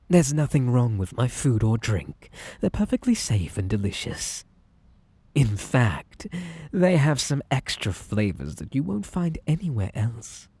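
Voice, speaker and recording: Guy doing a creepy possessive british bunny boy for asmr roleplay, slow breathy delivery, super polite but menacing, really close dry sound. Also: medium pitch, mellow, no echo, full character voice. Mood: tense, insistent. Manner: theatrically polite, coaxing, drawn-out delivery with menacing undertone. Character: possessive, unhinged magical bunny boy tea-party host.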